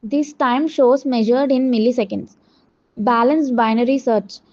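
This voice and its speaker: A dull, lo-fi recording of a woman with a fairly high voice. She reads a prepared project presentation like a student, haltingly, tripping on words and correcting herself as she goes. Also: darkish tone. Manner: halting reading with stumbles and self-corrections. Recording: dull, muffled lo-fi audio.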